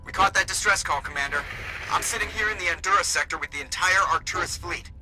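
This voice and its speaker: Guy playing a loyal spaceship pilot in a sci-fi game, highish urgent voice, loud music behind. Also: quick pace, close to an everyday voice, poor audio. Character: earnest male starship pilot, urgent and loyal. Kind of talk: video game radio dialogue.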